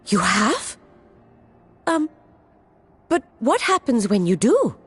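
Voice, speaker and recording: A woman playing a curious, excitable young scholar in a game cutscene, expressive and eager. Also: sits high, drawn-out words, husky, full character voice. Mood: fascinated. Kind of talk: video game cutscene acting. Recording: music behind.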